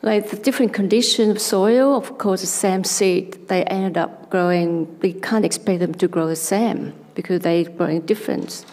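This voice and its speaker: Soft-spoken Vietnamese woman in a hall. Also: fairly low pitch. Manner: halting, searching for words, soft and reflective. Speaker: artist panelist. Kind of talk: talk with slides at a public forum. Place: arts centre hall. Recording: long hall reverb.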